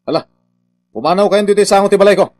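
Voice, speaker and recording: Actor as a blustering, high-voiced male contractor boss. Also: fast talker, a little mellow, no echo, close to an everyday voice. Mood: irritated. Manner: defensive, blustering. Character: evasive, irritable male contractor boss dodging debts. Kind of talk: radio drama acting.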